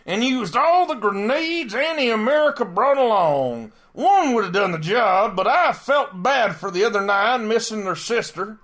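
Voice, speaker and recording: A man's voice: high voice, lively intonation, normal speed, few pauses, fairly bright tone. A man playing an argumentative, skeptical know-it-all soldier in a comedic audio drama.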